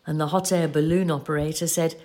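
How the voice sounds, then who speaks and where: Lowish-voiced, devoted woman storyteller, an actress's role. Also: fairly even intonation, fairly quick, darkish tone, close to an everyday voice. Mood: tender, amused. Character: devoted, patient woman retelling a cherished love story. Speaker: actor in an audio play. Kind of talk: audio drama acting, storytelling.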